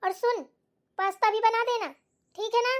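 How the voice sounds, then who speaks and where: A woman voicing a bossy, scolding older mother-in-law in a family cartoon, sharp-tongued and demanding, with a childlike voice. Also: extremely high pitch, fairly even intonation, moderate pace. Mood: bossy, impatient. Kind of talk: Hindi cartoon voice acting.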